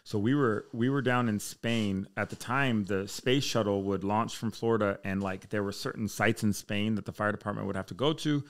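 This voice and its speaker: A man's voice: lowish voice, normal speed, hardly any pauses. An American man chatting casually and candidly as a podcast guest, with slangy, bro-heavy speech. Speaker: podcast guest, military fire chief.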